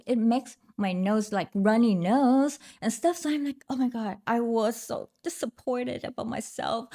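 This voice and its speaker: A woman from Vietnam with a Vietnamese accent, speaking English as a podcast guest, chatting casually with fillers and restarts. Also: fairly high pitch. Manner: unhurried, with fillers and restarts, laughs often. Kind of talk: casual interview chat.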